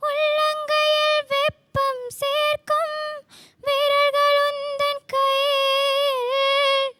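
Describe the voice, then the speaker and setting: A woman's voice: extremely high pitch, monotone, drawn-out words, dry recording. A young woman singing Tamil film songs as a talent show contestant, with music behind her. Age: young adult. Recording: loud music backing.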